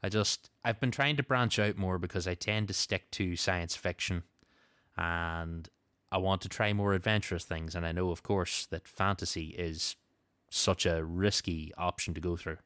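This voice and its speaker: A man's voice: low voice, fairly even intonation, moderate pace, fairly bright tone, no room sound, studio quality. A man hosting a podcast, moving between show announcements and casual chat. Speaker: podcast host.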